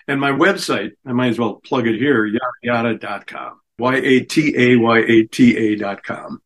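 A man's voice: mid-range voice, moderate pace, fairly bright tone. Easygoing middle-aged man, chatty. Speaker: musician guest. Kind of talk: casual radio interview chat.

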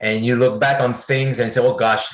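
A man's voice: mid-range voice, little pitch movement, normal speed. A man in his forties, a native French speaker with a French-speaking North American accent, answering as a singer-songwriter guest in a casual, rambling way full of "I mean.". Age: 40s. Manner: rambling, leans on filler "I mean".

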